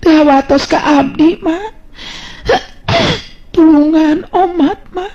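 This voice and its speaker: Man voicing a high, pleading young Sundanese woman. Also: animated, slow, darkish tone, exaggerated voice. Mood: pleading, desperate. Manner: plaintive, imploring. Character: pleading, helpless young captive noblewoman. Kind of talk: Sundanese radio storytelling drama. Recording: dull lo-fi radio-style recording.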